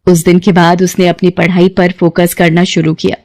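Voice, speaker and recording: A woman's voice: on the low side, flat delivery, moderate pace, darkish tone, slight background noise. A woman working as a narrator, reading a scripted story.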